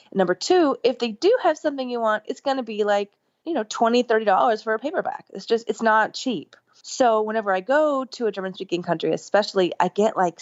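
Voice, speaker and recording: A middle-aged woman, a podcast guest, telling a story in an enthusiastic, rambling way, with lively jumps in pitch. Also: no room sound. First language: English. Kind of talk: casual storytelling interview. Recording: dull lo-fi remote line.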